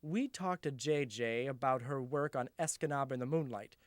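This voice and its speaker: A man's voice: mid-range voice, moderate pace, no room sound. A man narrating a scripted arts feature as the show's reporter.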